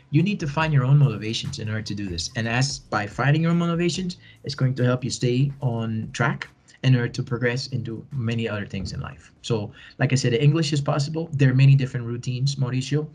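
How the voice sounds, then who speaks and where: A man's voice: mid-range voice, darkish tone. Earnest man, encouraging, repeating for emphasis. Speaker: podcast guest, bilingual educator. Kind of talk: conversational interview answers.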